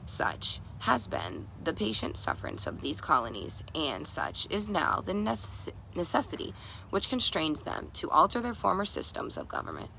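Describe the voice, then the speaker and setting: A woman reading a historical document aloud, haltingly, sometimes stumbling over long words and repeating them. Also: sits low, creaky, bright, forceful tone, a little hiss or hum, poor audio. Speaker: reader.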